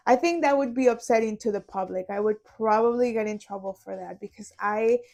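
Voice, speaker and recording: A woman's voice: on the high side, brisk, darkish tone. A young woman, chatting casually and poking fun at herself while she solves a puzzle. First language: Spanish. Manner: chatty and self-deprecating, rambling with fillers. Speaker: vlogger.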